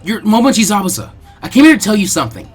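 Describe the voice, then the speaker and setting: A man voicing a brash, earnest teenage ninja boy who is loud, insistent and pleading in a fan fiction audiobook. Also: high-pitched, big pitch swings, racing, breathy edge, faint music behind, voice pushed up, full character voice. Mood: urgent pleading.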